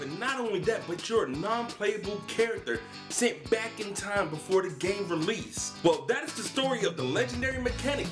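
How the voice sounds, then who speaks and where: A presenter delivers a scripted ad read at an average pitch. The sound is roomy, and music plays clearly behind the voice. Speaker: sponsor presenter.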